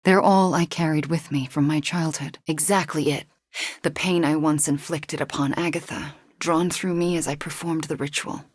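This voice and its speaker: A woman voicing a young video game sorceress, guilt-ridden, wry and guarded, with an earnest, dry delivery that often drops into a hush. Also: deep voice, fairly even intonation, moderate pace, husky, no echo. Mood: earnest, reflective. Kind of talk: acted video game dialogue.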